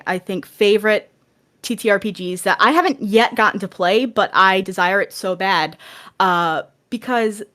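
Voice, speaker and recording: An enthusiastic woman speaks as a podcast guest in a casual, conversational style. Her voice sits at an ordinary middle pitch for a woman. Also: a bit bright. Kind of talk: casual chat.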